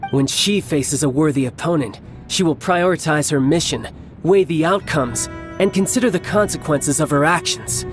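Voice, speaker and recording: A man's voice: on the high side, natural voice. Cocky young male game villain played by a male actor, battle-hungry, theatrical and taunting, over a prominent music score. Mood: reflective, taunting. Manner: theatrical, taunting, playfully menacing. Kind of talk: English video game voice acting.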